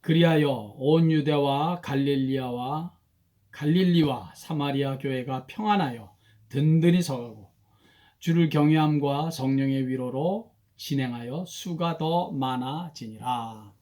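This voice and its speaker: A male pastor with a hoarse voice, leading morning worship and prayer in a slow, soft-spoken, halting way. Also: medium pitch. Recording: faint guitar music behind.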